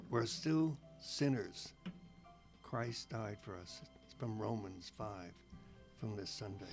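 Slow, gravelly man reading a reflection. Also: mid-range voice, a little mellow. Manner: measured, earnest. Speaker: radio show co-host.

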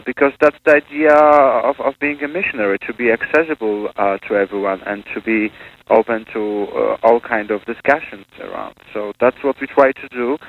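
A man from Poland talks over a thin, phone-like radio line, with a light hiss behind his voice. He is a priest speaking as a missionary guest, and he talks in a halting way, often pausing and restarting his sentences. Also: mid-range voice. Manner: hesitant, many fillers and restarts. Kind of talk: conversational interview.

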